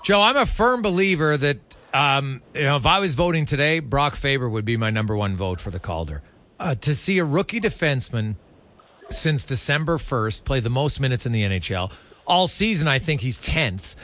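A man with a very bright, punchy voice pitched a bit high, hosting a hockey talk show. He speaks conversationally and offers firm opinions as he questions his guest. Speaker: sports radio host.

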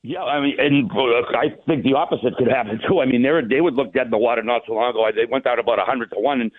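A man's voice: mid-range voice, quick pace, husky, dry recording. A man hosting casual sports talk about hockey, rambling informally, heard over a tinny, narrow phone-like line.

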